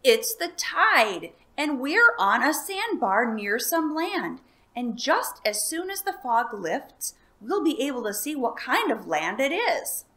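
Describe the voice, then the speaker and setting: A woman voicing a brave, cheerful little boy who talks earnestly and gets excited. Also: sits high, a little slow, voice pushed up, full character voice.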